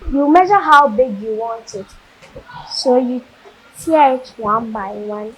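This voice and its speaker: Young woman host giving instructions to viewers, slightly high, light hiss. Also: slow pace, fairly bright tone, low-quality recording. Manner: instructional, addresses viewers directly. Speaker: segment host. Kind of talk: presenting a how-to segment. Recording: faint hiss.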